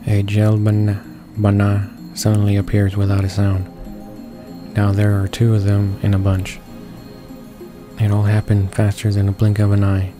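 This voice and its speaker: A man reading visual novel text aloud, halting and stumbling over words. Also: lowish voice, monotone. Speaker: gamer reading along. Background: game music.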